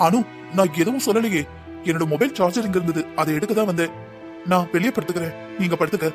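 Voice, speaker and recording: Man voicing a quick-talking, highish young bridegroom. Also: over music, close to an everyday voice. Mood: gentle. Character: kind, stubborn young bridegroom, soft-hearted husband. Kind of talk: Tamil cartoon drama dialogue.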